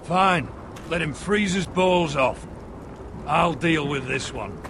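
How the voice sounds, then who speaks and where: An actor playing a gruff, scornful drill master in a video game, commanding and scolding. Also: male voice, on the high side, slow, noisy background. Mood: gruff, dismissive. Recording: loud music behind.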